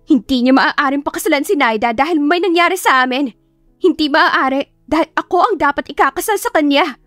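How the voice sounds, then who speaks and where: A woman's voice: high voice, a little fast, a bit bright, no room sound, soft background music, voice pushed up. A woman voicing a jealous, spiteful young woman who speaks accusingly in a dramatised audiobook. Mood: desperate, possessive. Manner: accusing, confrontational.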